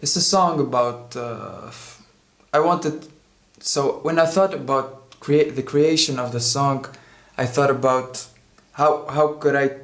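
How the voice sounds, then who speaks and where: The sound is roomy and echoey. A man speaks at an average pitch, chatting casually as a guest artist about his own work. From Tunisia. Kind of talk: casual interview talk.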